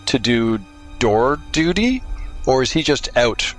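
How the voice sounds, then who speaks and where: A man co-playing a story game, casually planning the plot and thinking aloud in a halting way. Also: medium pitch, sing-song, fairly bright tone, faint music behind. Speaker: co-player of tabletop story game.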